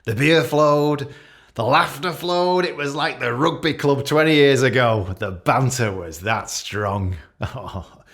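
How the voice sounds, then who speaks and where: An actor playing a pompous, self-pitying middle-aged British senior police officer making a halting, passive-aggressive speech in a radio play. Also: male voice, mid-range voice, fairly bright tone. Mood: nostalgic, jovial.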